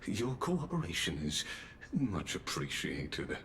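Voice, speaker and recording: An actor playing a courteous, pompous palace official in a video game, speaking with ceremonious, silky politeness. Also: male voice, low-pitched, huge pitch swings, vocal fry, background noise, exaggerated voice. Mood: polite warning. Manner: ceremonious, measured, silkily polite. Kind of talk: scripted video game voice acting. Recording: loud game music behind.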